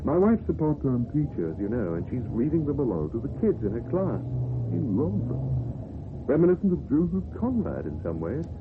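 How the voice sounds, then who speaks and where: An actor playing an earnest, thoughtful doctor with a husky voice in a radio drama. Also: male voice, medium pitch, sing-song, normal speed, background noise, close to an everyday voice. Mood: reassuring, reflective. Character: earnest, thoughtful male doctor, a married man. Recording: narrow, muffled old radio recording.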